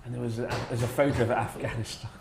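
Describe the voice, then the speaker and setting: A man telling a casual comic anecdote to friends in an animated, sardonic way, acting out quotes. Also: medium pitch, racing. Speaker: storyteller, former English teacher. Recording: roomy echo with clear background noise.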